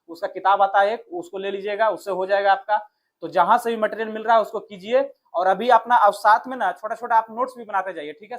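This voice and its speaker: High-pitched man with a Bihar accent. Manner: addresses listeners familiarly, asks and answers own questions. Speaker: exam coach. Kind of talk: informal exam strategy lesson.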